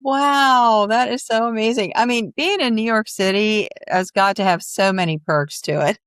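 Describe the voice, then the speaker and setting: A middle-aged woman hosts a casual interview podcast. She is effusive and encouraging, speaking at an average pitch. The sound is dry, with very little room in it. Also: normal speed, clean tone, dry recording. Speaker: podcast host.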